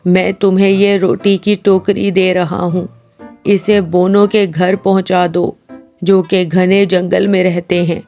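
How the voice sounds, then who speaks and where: Woman doing a slow-talking kindly old man. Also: lowish voice, little pitch movement, darkish tone, soft background music, voice pushed down. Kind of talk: cartoon storybook acting.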